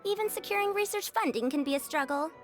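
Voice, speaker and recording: A high-pitched old woman scholar, proud and indignant. She holds forth theatrically like a living legend in a video game, over background music. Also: lively intonation, fast talker. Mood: boastful, put out. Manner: theatrical, self-important, grumbling. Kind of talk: video game character monologue. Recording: game audio with music behind.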